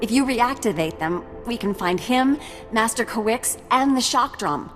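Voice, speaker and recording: An actress playing an earnest research facility survivor in a video game, explaining things with concern. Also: female voice, a little high, animated, normal speed, fairly bright tone. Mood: worried. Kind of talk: video game cutscene acting. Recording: long hall reverb, music behind.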